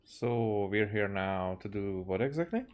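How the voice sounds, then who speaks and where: A man's voice: lowish voice, animated, a little slow, darkish tone, a little hiss or hum. A man from Hungary with a Hungarian accent, giving casual live commentary as a game streamer.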